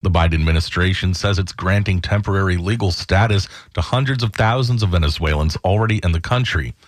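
A man reading the local news headlines as a radio anchor, in a steady, measured newsreader delivery. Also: low voice, dry recording. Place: radio studio.

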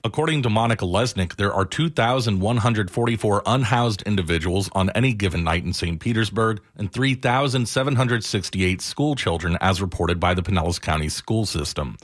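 A male radio reporter with a fairly low voice. He reads scripted news copy in the style of a local news package. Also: a little fast, hardly any pauses.

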